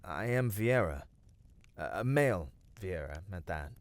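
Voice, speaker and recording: A man acts out a loyal male battle dancer from a fantasy game world, comforting a dear friend in ASMR roleplay. His voice sits slightly low and moves very slowly. He is earnest and tender, leaving dramatic pauses between phrases. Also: animated, no echo. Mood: warm reminiscence. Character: gentle, devoted male Viera battle dancer, loyal adventuring companion. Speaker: voice actor.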